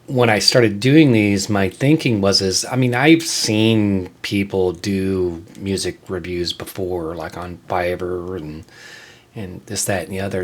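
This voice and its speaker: Low-voiced man giving a casual podcast update. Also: darkish tone. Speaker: music show host and reviewer.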